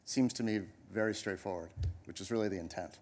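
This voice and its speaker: A man's voice: on the low side, brisk, a little mellow. A man presenting a project to a city commission, hesitant with many restarts, then emphatic. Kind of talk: public meeting discussion. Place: council chamber.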